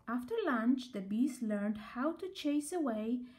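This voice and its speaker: Slow, animated woman storyteller. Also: on the high side. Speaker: children's storyteller. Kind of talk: expressive read-aloud story narration.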